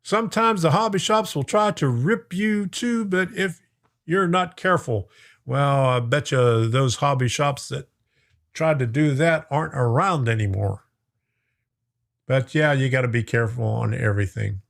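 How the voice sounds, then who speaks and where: A man's voice: medium pitch, measured pace, creaky. Folksy man hosting a chatty live stream. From lives in Florida. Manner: folksy, chuckling, talks to viewers by name. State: voice getting worn out. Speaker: host of a live stream on model trains. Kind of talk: casual live-stream chat reading viewer comments.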